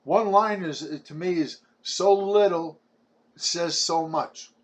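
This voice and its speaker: A man in his seventies from Brooklyn with a New York accent, talking to camera in a rambling personal testimony, often repeating his words. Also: fairly high pitch. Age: 70s. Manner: halting, repeats words, chatty and preachy.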